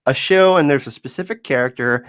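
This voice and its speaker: Male podcast co-host, mid pitch, dead-dry sound, eager, trips over his words. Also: brisk, fairly bright tone, smooth, no echo. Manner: upbeat, hesitant with restarts. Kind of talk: casual chat.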